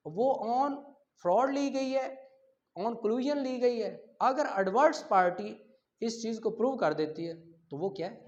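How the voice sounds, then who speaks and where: A high-voiced man with a Pakistani accent teaches law in a didactic, explanatory way. He moves between statute wording and plain examples, posing questions as he goes. The recording is somewhat muffled and lo-fi. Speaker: law lecturer. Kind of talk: exam-prep lecture, explaining and reading out statute articles. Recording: dull, lo-fi lecture audio.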